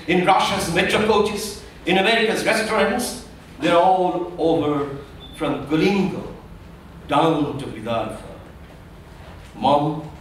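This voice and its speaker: An older man with a Bengali accent recites a poem at a stand mic on a large stage, unhurried and dramatic, sinking almost to a hush. His fairly high voice rings out across a very echoey hall and is heard from some distance, with faint room ambience beneath it. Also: a little hiss or hum. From India. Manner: measured, dramatic recitation that drops to a hush at the end. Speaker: poet. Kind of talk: poetry reading. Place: large dark stage in an indoor auditorium.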